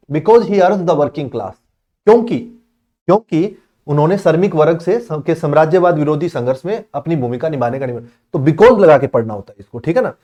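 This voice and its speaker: A man explaining history exam questions to students, reading the English text slowly and then explaining it in Hindi, with many check-ins for understanding. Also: a little high, mellow. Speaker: exam coaching teacher.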